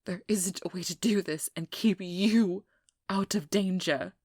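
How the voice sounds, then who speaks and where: A woman playing a determined, guarded and protective vampire hunter in an intimate ASMR role-play, hushed and often whispering, with halting, earnest delivery. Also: on the low side, no room sound, clean studio sound. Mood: stern, resigned. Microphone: close microphone in a dry room.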